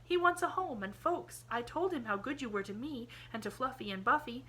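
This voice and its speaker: A woman's voice: a little high, expressive, a little fast, sharp tone, slight background noise, voice pushed up. A woman reading an audiobook, voicing an eager, endlessly cheerful young girl who chatters breathlessly in quaint old-fashioned phrasing. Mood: excited. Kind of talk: audiobook character voice.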